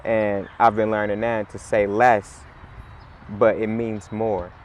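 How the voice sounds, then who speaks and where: A man, chatting in a relaxed, rambling way as an interview guest, full of "like" and "you know". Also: on the low side, takes it slowly, slight background noise. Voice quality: raspy. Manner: laid-back, rambling, heavy on "like" and "you know". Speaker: musician guest. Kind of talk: casual interview chat.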